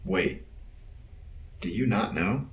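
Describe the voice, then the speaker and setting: Deadpan robot security officer, echoey. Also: male voice, on the low side, takes it slowly, muffled recording. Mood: grave. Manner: terse, deadpan, businesslike. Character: dry, patient robot security officer, male. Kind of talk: audio drama acting. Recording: roomy echo with clear background noise.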